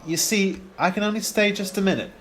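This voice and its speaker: A man's voice: high voice, steady tone, brisk, a bit bright. A man acting a polite, uneasy young son in a radio play, speaking in a hesitant, placating way. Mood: apologetic, pleading.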